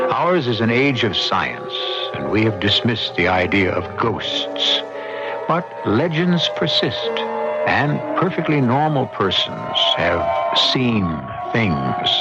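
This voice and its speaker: Old radio mystery host guy, slow dramatic delivery, big pitch swings, muffled lo-fi. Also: creaky. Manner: deliberate, portentous. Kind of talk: dramatic scripted radio narration. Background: prominent music.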